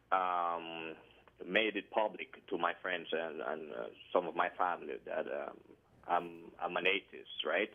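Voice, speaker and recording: A man from Colombia with a Colombian Spanish accent, calling in and talking hesitantly with many fillers and restarts. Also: deep voice. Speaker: caller. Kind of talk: phone call-in conversation. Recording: tinny phone line.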